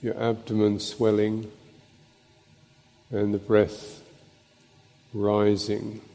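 In a long, echoing hall, a man with a lowish voice guides a meditation. He speaks very slowly as a monk teaching, placing short phrases between pauses. His manner is contemplative and halting. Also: monotone, gravelly, mellow. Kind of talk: guided meditation and Dhamma talk. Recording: long reverberant hall echo.

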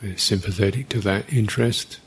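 Male meditation teacher, husky and fairly low voice, unscripted guidance with long, halting gaps. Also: steady tone. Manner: unhurried, halting, with long pauses.

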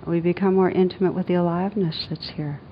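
A woman meditation teacher leading a guided meditation in a gentle, soothing, unhurried manner with long pauses. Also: fairly even intonation. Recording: dull lo-fi sound, rumbling background noise.

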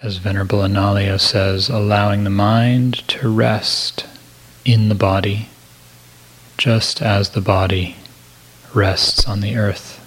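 Slow, low-pitched man guiding meditation. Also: steady tone, poor audio. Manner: gentle, measured, with long pauses. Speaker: meditation teacher. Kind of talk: guided meditation.

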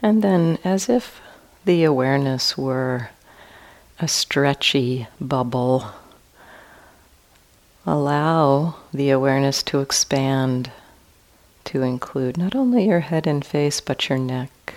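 A woman teaching a guided meditation in a calm, gentle manner with long pauses between phrases. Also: extremely low pitch.

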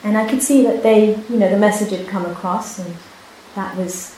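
Soft-spoken Hebrew-speaking woman teacher, echoey hall. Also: medium pitch. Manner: soft-spoken, unhurried, with many pauses and restarts. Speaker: meditation teacher. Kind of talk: dharma talk with personal story. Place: retreat hall. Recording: echoey hall, faint hiss.

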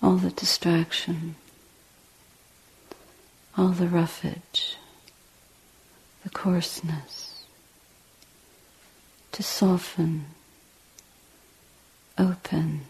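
Husky, slow woman guiding meditation. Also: fairly low pitch, little pitch movement, poor audio. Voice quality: husky, breathy, often near whisper. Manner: hushed, deliberate, short phrases with long pauses. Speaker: meditation teacher. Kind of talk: guided meditation.

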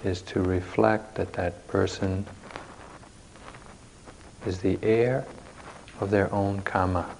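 A man leading a guided meditation as a teacher, speaking in measured short phrases with long pauses, recorded live at a retreat. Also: deep voice, steady tone, vocal fry, a little mellow, a little hiss or hum, poor audio.

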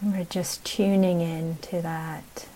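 A woman meditation teacher leading a guided practice in a gentle, unhurried way, speaking in short phrases with long pauses. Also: fairly low pitch, level tone, poor audio.

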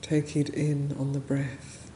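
A woman leading a guided meditation as a teacher, speaking gently and unhurriedly in short phrases with long pauses. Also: sits low, completely flat delivery. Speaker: meditation teacher, Buddhist nun. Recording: roomy echo, faint background noise.

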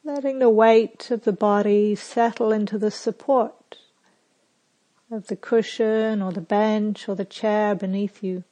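A woman meditation teacher guiding a sitting meditation in a soft, unhurried way with long pauses. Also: medium pitch, steady tone, poor audio. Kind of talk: guided meditation. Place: retreat center.

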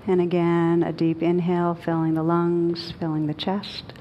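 A woman leading a guided meditation as a teacher, speaking gently and soothingly, unhurried, with long pauses. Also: on the low side, little pitch movement, highs cut off, a little hiss or hum.

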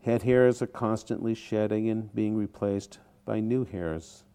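A man teaching a guided meditation in short, deliberate phrases with pauses between them. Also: on the low side, fairly even intonation, dark tone, smooth.

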